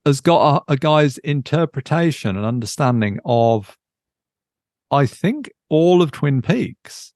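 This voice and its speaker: Gravelly-voiced man in casual chat. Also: on the high side, sing-song, slow pace, darkish tone, no echo, clean studio sound. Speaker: podcast co-host. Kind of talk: casual chat about films.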